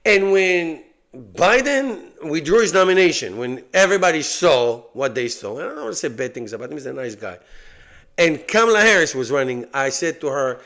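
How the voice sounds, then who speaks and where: A man's voice: on the high side, a bit bright. A middle-aged man from Israel with an Israeli accent, talking through his political opinions in a casual monologue, rambling and repeating himself as he goes. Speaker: YouTube channel host.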